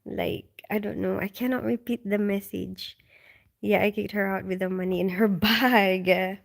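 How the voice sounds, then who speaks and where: A woman in the middle of her vocal range, hosting a relaxed live stream from home late at night. She reads out viewers' comments and answers them in a loose, chatty way, with ums and soft laughter along the way. Her voice sounds tired and drowsy. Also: medium pitch, measured pace, gravelly. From Philippines. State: tired, getting sleepy. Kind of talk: casual live-stream chat.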